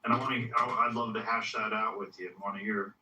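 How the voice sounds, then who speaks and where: A man in a casual political discussion, speaking in a conciliatory, halting way. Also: lowish voice, steady tone, pushed and bright. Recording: distant echoey online audio, faint noise.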